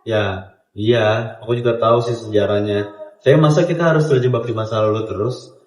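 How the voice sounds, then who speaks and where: A man's voice: fairly low pitch, brisk, lightly acted. A young man acting a stubborn male student in an amateur school skit, chatting casually and dropping English words into his speech. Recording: roomy, dull, music underneath.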